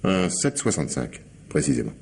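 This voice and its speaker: An actor playing a shrewd, suspicious police commissioner in an old French radio mystery, questioning and musing aloud with pointed pauses. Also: male voice, very deep voice, very animated, a little mellow. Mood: probing. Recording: noisy old radio recording with ambience.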